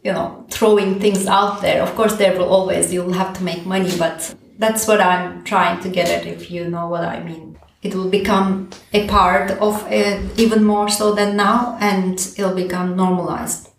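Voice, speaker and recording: A woman from Turkey, a pro bono director, answering interview questions in a lively way, thinking aloud with many fillers and restarts. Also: lowish voice, moderate pace, creaky, roomy sound, slight background noise. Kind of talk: conversational podcast interview.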